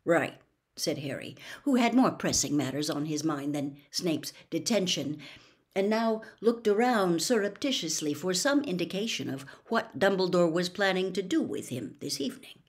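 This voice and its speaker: A woman reading a novel aloud as a storybook narrator. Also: on the low side. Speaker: audiobook reader.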